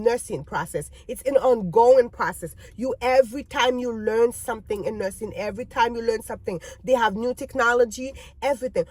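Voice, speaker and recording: A woman's voice: fairly high pitch, no room sound. A native French-speaking woman with a French accent, talking candidly to viewers in a personal vlog, emphatic and repeating phrases for stress. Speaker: video creator.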